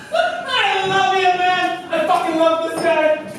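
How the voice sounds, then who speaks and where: Pushy, profane salesman played by a man at a staged reading, combative then wheedling, very high voice, distant. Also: lively intonation, extremely slow, fairly bright tone, exaggerated voice. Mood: cajoling. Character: pushy, foul-mouthed salesman, a man, hot-tempered and wheedling. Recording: echoey room from far off, faint music.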